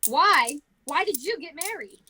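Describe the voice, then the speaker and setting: Woman playing grumpy older female miser, high voice, cold, curt, scornful, snapping, amateur radio drama. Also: animated, unhurried, sharp tone, slight background noise, full character voice. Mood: scornful, irritable. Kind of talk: amateur radio play acting.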